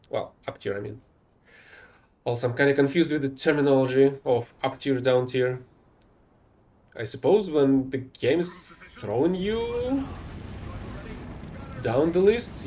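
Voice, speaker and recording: A man's voice: on the high side, a little mellow. Man giving casual game commentary, non-native English. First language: not English. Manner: rambling, self-correcting, mildly exasperated. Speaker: gameplay streamer.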